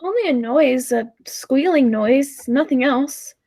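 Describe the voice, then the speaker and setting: A woman's voice: high-pitched, expressive, slow, warm tone, heavily acted. A woman acting an anxious but brave companion to a time-travelling professor, dramatic and literary in an old-fashioned 1940s radio play style. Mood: uneasy.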